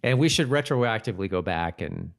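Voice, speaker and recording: Male co-host, lower register, informal talk, halting delivery with frequent rephrasing. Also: expressive, creaky. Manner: halting, repeats and rephrases himself. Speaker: podcast co-host. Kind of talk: casual chat about a TV episode.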